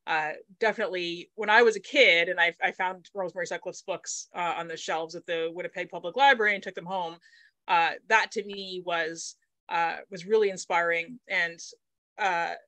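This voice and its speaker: A woman's voice: fairly low pitch, steady tone, moderate pace, bright, forceful tone, no room sound. A middle-aged woman from Manitoba, chatting on a video call from her home study, thinking aloud with many fillers and restarts. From Manitoba, Canada. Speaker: author guest. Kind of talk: casual conversation on a video call. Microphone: laptop webcam mic on video call.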